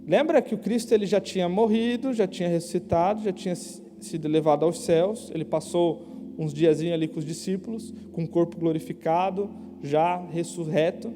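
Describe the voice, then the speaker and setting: A young man preaching a casual youth sermon in a church, slangy and conversational, asking the crowd quick questions. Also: high-pitched, background noise. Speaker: youth pastor. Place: church hall. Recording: live church hall with long reverb.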